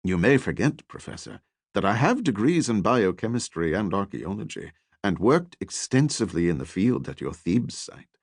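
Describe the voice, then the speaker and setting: A man's voice: fairly low pitch, sing-song, moderate pace, vocal fry, husky, no echo, clean studio sound, exaggerated voice. A man reading the part of a proud, assertive female archaeologist in an audiobook, formal and keen in manner. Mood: defiant.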